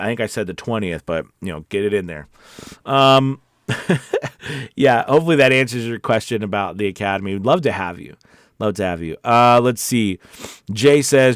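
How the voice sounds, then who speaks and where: A man's voice: mid-range voice, dry recording, clean studio sound. A man hosting a casual livestream as a voice-over coach, talking in an informal, rambling and encouraging way. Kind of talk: casual livestream chat, reading viewer comments.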